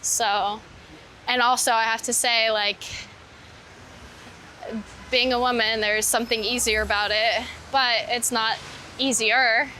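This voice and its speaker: A woman's voice: on the high side, steady tone, slow, long pauses, pushed and bright. A woman chatting casually and informally, as a guest on a walking interview, peppering her talk with "like". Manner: informal, heavy use of "like". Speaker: interview guest, street photographer. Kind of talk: casual chat while walking. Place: outdoors on a city street. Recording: clear outdoor street noise with traffic. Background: street ambience and vehicles.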